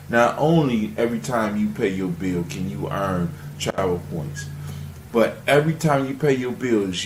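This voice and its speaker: A man hosting a radio show in a casual, rambling way, repeating phrases as he finds his words. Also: on the low side. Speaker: radio show and podcast host. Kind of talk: casual live show chat and sign-off. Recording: roomy echo, faint music.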